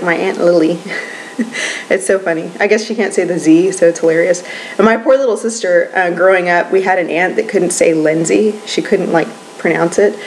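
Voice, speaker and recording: A young woman in her twenties talks casually at home, drifting from one thought to the next with frequent ums and soft laughs. Her voice sits a little low for a woman, and a faint hiss runs quietly underneath. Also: rough recording. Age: 20s. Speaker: vlogger. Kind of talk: casual chatty vlog.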